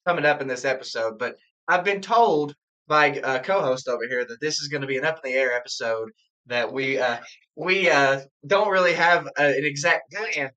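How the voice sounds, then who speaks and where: A man, hoarse from a sore throat, co-hosting a bourbon tasting live stream in laid-back, joking banter. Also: on the high side, normal speed, pushed and bright. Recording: dull lo-fi stream audio.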